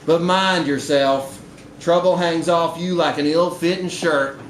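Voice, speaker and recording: A man's voice: a little high, fairly even intonation, a little slow, voice pushed up, close to an everyday voice. A man acts out a grudging, suspicious older country woman in a live story reading. The voice is raised and scolding, with folksy bite, in a roomy, slightly noisy space. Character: gruff, suspicious rural older woman, grudging former mother-in-law.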